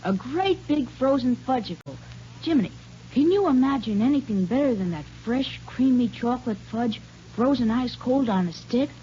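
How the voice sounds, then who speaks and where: A woman doing an old-time radio advertising pitch aimed at children. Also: animated, normal speed, dark tone, a little hiss or hum. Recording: muffled, humming vintage radio recording.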